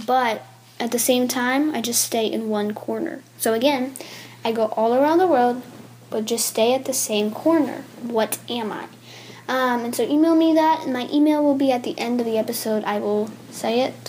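A woman's voice: on the high side, normal speed, a little mellow, a little hiss or hum. A young woman hosting her own casual podcast, chatty and eager, interviewing her grandmother with many ums. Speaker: podcast host.

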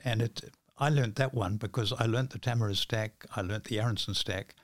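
An older man chats as a podcast guest, halting and often repeating his words. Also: on the low side, no room sound, studio quality. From New Zealand. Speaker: podcast guest, magician and author. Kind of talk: casual conversation.

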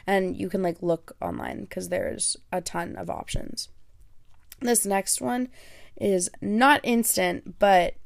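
Young podcast host lady, first language greek, gravelly voice, dry close sound, rambly and chatty. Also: mid-range voice, dry recording. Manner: chatty, self-deprecating, lots of fillers. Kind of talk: casual solo podcast talk.

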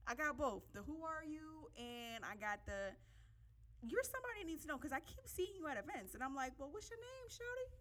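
A woman's voice: high voice, expressive, fairly quick, a bit bright. A young woman co-hosting a podcast with playful, teasing banter. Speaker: podcast co-host. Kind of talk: casual podcast chat.

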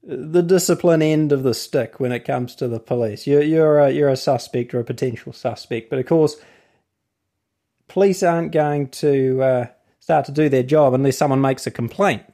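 A man's voice: mid-range voice, normal speed, warm tone. A man with a New Zealand accent giving a casual explanatory talk as host and legal author, wandering into asides and then finding his thread again.

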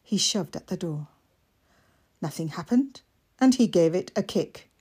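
Female fiction narrator: measured, expressive, slow-paced, with a breathy, husky edge. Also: on the low side. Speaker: author reading own fiction. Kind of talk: scripted story narration.

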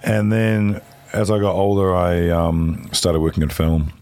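A middle-aged man with a deep voice, chatting casually on a podcast and swinging between high, animated bursts and very low tones. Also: measured pace, no echo. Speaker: podcast guest.

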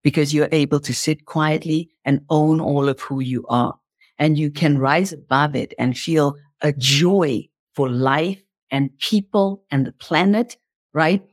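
A woman's voice: low voice, unhurried, dry recording, clean studio sound. A woman speaking as an interview guest, explaining in an impassioned motivational style and repeating phrases for emphasis.

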